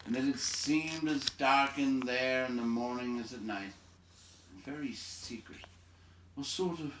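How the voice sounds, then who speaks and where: A man's voice: medium pitch, a bit bright, slight background noise. A man reading a storybook aloud as narrator. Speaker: reader. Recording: echoey room, distant microphone.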